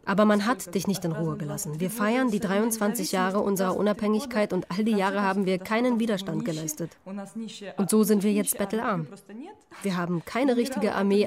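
A woman reads an interviewee's testimony as a voice-over, earnest and resolute. Crowd noise runs behind her. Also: mid-range voice.

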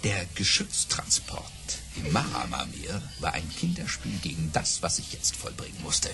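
An actor playing a boastful baron who tells tall tales in a grandiose, theatrical audio play style. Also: male voice, deep voice, wildly swooping pitch, normal speed, gravelly, over music, full character voice. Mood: boastful, suspenseful.

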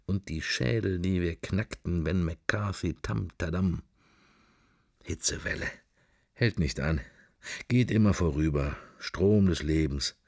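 A man's voice: low-pitched, slow, vocal fry, no echo, clean studio sound, exaggerated voice. An actor playing a wry middle-aged everyman musing to himself in clipped fragments in a radio play. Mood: dreamy musing. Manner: clipped, wandering interior monologue. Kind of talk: intimate literary radio play monologue.

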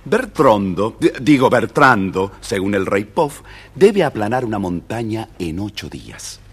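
A man with an Argentine accent and a husky voice, narrating a scripted story for children. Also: on the low side, sing-song, measured pace.

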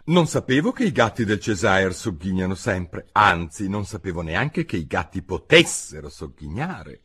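A man's voice: sits low, very animated, normal speed, a bit bright, slightly rough, exaggerated voice. A man doing a polite, earnest little girl. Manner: earnest and eager, sometimes flustered.

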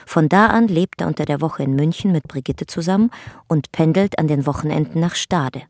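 A woman's voice: low-pitched, a little fast, darkish tone, dry recording, studio quality. A woman narrating a documentary-style radio drama in a factual, composed manner.

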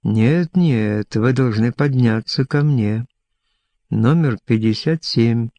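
A man's voice: medium pitch, slow pace, warm tone, dry recording. A man voicing an aging stage performer in an audiobook, boastful and lonely, rambling on insistently in short, self-assured phrases. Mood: insistent, pleading. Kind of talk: audiobook character dialogue.

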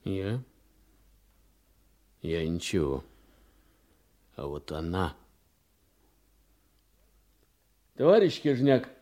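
An actor playing a calm, methodical investigator in a radio drama, explaining things in a measured, confident manner. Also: male voice, sits low, exaggerated voice. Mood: quiet. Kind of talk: Russian radio drama acting.

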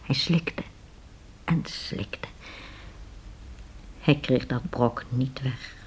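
A woman with a husky voice narrating a radio play as a dramatic, scripted storyteller. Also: a bass voice, drawn-out words, a little mellow, a little hiss or hum.

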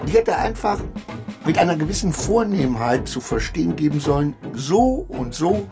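An actor playing a nervous, vain petty official who talks to himself in an agitated, self-justifying way in a radio play. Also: male voice, a little high, big pitch swings, normal speed, over music. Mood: flustered panic. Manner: agitated, self-justifying, swinging between muttering and outbursts. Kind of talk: radio play acting, inner monologue.

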